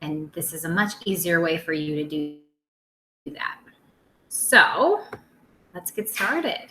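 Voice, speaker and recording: A woman teacher reading a picture book aloud to young children in an expressive, simple way. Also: deep voice, slow pace, echoey room.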